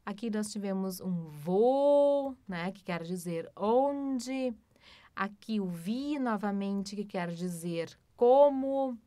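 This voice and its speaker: A woman with a Brazilian Portuguese accent teaching a German lesson. She explains patiently, often adds tag questions and slows down for the German examples. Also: medium pitch, animated, warm tone, no room sound. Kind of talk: language lesson.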